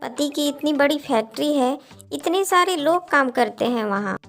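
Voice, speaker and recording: A woman playing a high-voiced devoted young sister. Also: normal speed, vocal fry. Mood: insistent. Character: devoted, caring young married sister, earnest and insistent. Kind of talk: Hindi cartoon moral story dialogue. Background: background music.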